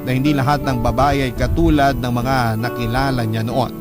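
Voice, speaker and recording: Male story narrator over loud music. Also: medium pitch, level tone, moderate pace. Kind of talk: dramatic first-person story narration. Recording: loud music bed under the voice.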